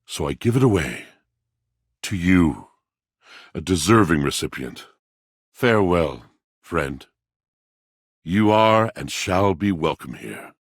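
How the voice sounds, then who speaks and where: An actor playing a grandiloquent, melancholy washing machine in a video game, with florid, courtly, old-fashioned diction and a gravelly voice. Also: male voice, animated, slow, pauses often, no echo, clean studio sound, heavily acted. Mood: wistful, grateful. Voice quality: gravelly, deep. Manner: theatrical, florid, formal old-fashioned diction, savoring words. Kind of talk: theatrical video game character acting.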